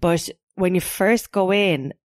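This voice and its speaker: Husky, nasal Irish woman with a cold. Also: lowish voice, takes it slowly, dry recording, clean studio sound. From Ireland. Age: 30s. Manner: giggly, chatty, banters and teases. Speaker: podcast co-host. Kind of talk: casual chat.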